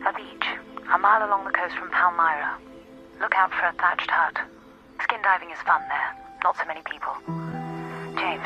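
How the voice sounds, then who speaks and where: An actress playing an eager, confiding young lover of a spy in a radio drama. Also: female voice, on the low side, lightly acted, rough recording.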